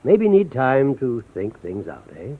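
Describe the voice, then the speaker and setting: A man's voice: medium pitch, wildly swooping pitch, measured pace, slightly rough, heavily acted. An actor playing a kindly, fatherly doctor in a vintage radio drama. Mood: kindly, probing. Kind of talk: 1940s-50s radio drama acting. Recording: tinny old radio recording.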